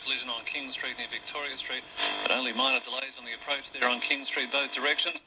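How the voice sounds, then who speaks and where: A man's voice: medium pitch, fairly even intonation, fast. A man reading a traffic report, heard through a noisy radio.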